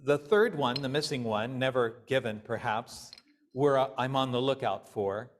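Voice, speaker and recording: A male minister delivering a sermon in deliberate, measured phrases with pauses. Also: medium pitch, a little hiss or hum.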